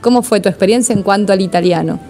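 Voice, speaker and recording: A woman's voice: medium pitch, quick pace, mellow, soft background music. A woman hosting an interview, greeting the audience and asking her guest several questions in a row in a friendly, casual way. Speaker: interview host.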